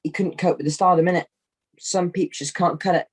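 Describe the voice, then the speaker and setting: A man's voice: high-pitched, steady tone, fairly quick, slightly rough. Puppet show role: cocky young monkey lad, male performer, street slang, full of himself. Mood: cocky, breezy. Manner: slangy street talk, tags questions with innit. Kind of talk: online puppet show acting.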